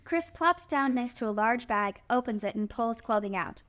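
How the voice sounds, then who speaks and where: A woman's voice: on the high side, expressive, normal speed, a bit bright. A woman reading stage directions as the narrator of a scripted screenplay table read.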